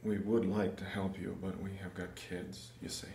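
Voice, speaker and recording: A man's voice: deep voice, little pitch movement, moderate pace, creaky, darkish tone, breathy edge, exaggerated voice. An actor playing a reluctant but kindly man who shelters fugitives, plain-spoken and earnest, in an audio drama. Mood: hesitant, gentle.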